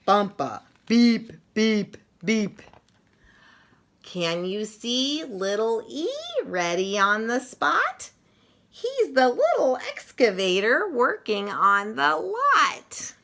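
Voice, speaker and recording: A woman reading a picture book aloud to children in an expressive, playful way, with sing-song emphasis on repeated sound words. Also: lowish voice.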